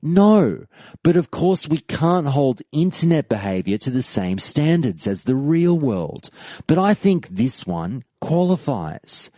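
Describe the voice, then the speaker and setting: A man's voice: fairly high pitch, big pitch swings, slow, dark tone, dry recording, clean studio sound. A man hosting a podcast, delivering a scripted, argumentative monologue in an emphatic, rhetorical, debate-style way. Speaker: podcast host. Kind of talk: scripted argumentative monologue, reading out forum posts.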